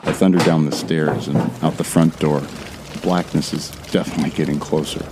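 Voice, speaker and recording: A man's voice: very deep voice, little pitch movement, moderate pace, very noisy. An actor playing a tense, bitter man who narrates his horror ordeal in a brooding, profane first person. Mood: angry. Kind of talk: scripted audio drama narration. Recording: music behind the voice.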